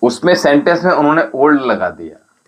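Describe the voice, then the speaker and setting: A man who teaches spirituality and Ayurveda, answering viewers' comments on a livestream and calling each of them by name in a measured, instructive way. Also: on the high side, big pitch swings, a bit bright. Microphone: livestream setup.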